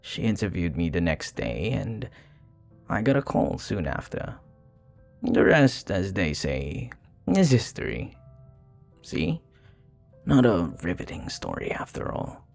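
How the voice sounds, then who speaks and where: A very deep, husky male vampire bartender, acted by a man, murmurs in an intimate ASMR roleplay. He is suave, flirty and courteous, taking his time over each phrase. His gravelly voice often sinks toward a whisper. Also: sing-song, a little mellow, faint music behind, full character voice. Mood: wry, confiding. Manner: suave, courteous, teasing, unhurried.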